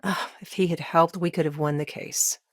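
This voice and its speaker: An actress voicing a middle-aged, principled and determined lawyer in a video game, with a dry, wry, matter-of-fact way of talking. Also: female voice, deep voice, fairly even intonation, normal speed, no echo. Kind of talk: video game character dialogue.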